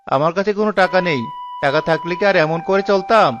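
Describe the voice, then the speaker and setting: A man's voice: sits high, fast talker, noisy background, lightly acted. A man voicing a stingy, irritable village man in a cartoon, grumbling and defensive. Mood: irritated. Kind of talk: cartoon character voice acting.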